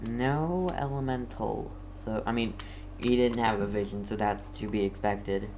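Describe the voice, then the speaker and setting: A low-key streamer chats casually through a video game at a slow, hesitant pace. Faint background noise sits behind the voice. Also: a little mellow. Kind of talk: casual gameplay commentary.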